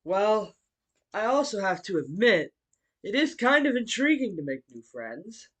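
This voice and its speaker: A man voicing a friendly, bookish martial-arts hero and teacher in a fan audio roleplay, speaking politely to the listener with hesitant pauses. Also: high-pitched, fairly bright tone, full character voice. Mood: curious, polite. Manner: polite, chuckling, hesitant pauses between phrases.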